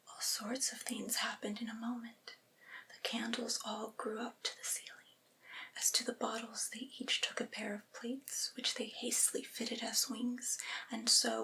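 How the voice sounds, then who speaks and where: A woman reading literary prose aloud in a breathy, unvoiced ASMR whisper, with measured, deliberate delivery. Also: a little high, sharp tone, poor audio.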